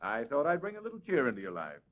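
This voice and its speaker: An actor playing a suave, wry amateur detective in an urbane, theatrical 1940s radio drama style. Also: male voice, mid-range voice, huge pitch swings, fast, no room sound. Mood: smooth, amused. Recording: dull old radio drama recording.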